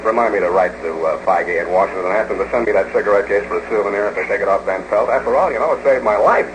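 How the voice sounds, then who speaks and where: A man's voice: lowish voice, very fast, background noise, lightly acted. Man acting a wry hard-boiled radio detective. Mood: casual. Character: wry, tough male private detective. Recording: old 1940s radio recording, music behind.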